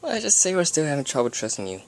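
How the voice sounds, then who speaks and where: A reader as a blunt skeptical pony, lively intonation. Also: mid-range voice, racing, pitched above the performer's own voice. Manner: blunt, casual. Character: blunt, skeptical young female flying pony.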